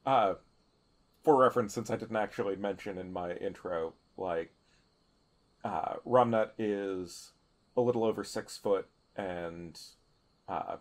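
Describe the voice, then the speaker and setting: A man playing a tabletop role-playing game, speaking in a measured, wordy, dry way as he improvises dialogue. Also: fairly low pitch. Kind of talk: casual game chat and improvised in-character dialogue.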